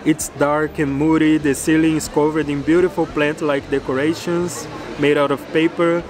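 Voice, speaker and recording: Eager travel vlog guy, portuguese is his first language, bit high voice, street traffic noise behind. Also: level tone, moderate pace. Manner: enthusiastic, explanatory, with frequent "like". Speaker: travel vlogger. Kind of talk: casual travel vlog narration. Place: outdoors on a city street. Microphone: camera held at arm's length. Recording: clear outdoor street noise.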